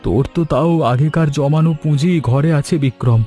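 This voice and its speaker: A man voicing an eager, helpful younger village man in a cartoon, with an animated, solicitous manner. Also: on the high side, brisk, faint music behind, natural voice. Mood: alarmed. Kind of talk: animated moral story dubbing.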